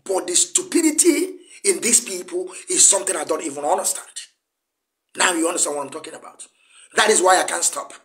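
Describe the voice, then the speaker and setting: A middle-aged man speaks in a notably high register with a Nigerian Igbo accent, Igbo being his first language. He hosts an impassioned political broadcast, scolding and driving points home by repeating them. He sits indoors at a table, close to the camera. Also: expressive, fairly quick, fairly bright tone. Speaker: broadcast host, political activist. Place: indoor room, seated at a table.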